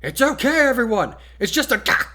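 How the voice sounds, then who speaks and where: A man's voice: very high-pitched, sing-song, a little fast, pushed and bright, pitched above the performer's own voice, exaggerated voice. Man reading as an earnest, emphatic schoolboy. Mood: urgent. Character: earnest, analytical teenage boy class-rep hopeful.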